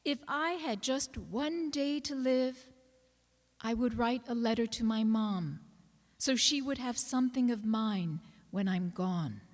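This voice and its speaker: A woman's voice: fairly high pitch, slow. A woman giving a dramatic reading of a personal piece of writing as an actor on stage. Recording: reverberant hall recording.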